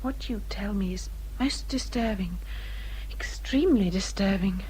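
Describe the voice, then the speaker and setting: An actress playing a gentle young English gentlewoman in a period radio drama, speaking softly, politely and formally. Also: mid-range voice, a little slow, a little hiss or hum, lightly acted, poor audio. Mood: troubled. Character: gentle, proper young Regency gentlewoman, easily troubled.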